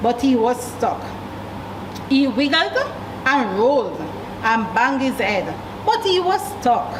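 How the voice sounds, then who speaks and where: A woman with a Jamaican accent reading a story aloud to children, with deliberate emphasis and questions to young listeners. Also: on the high side, sing-song. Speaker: library storyteller. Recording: echoey room, distant mic, clear background noise.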